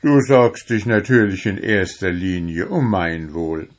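Man as an earnest lovestruck gnome, unhurried. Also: sits low, lively intonation. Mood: dry. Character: lovestruck male gnome, earnest amateur poet. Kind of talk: storybook character voice.

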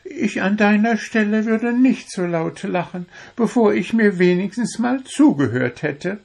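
A man's voice: a little high, slow, darkish tone, pitched above the performer's own voice. A man voicing a small, proud, cajoling blue bird with romantic airs in a storybook. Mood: proud, critical. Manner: confident, cajoling, unruffled. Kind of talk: storybook character voice.

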